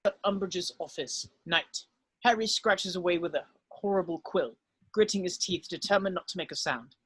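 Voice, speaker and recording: A man's voice: high voice, moderate pace, a bit bright, slightly rough. A man narrating, reading screenplay stage directions aloud at a script table read.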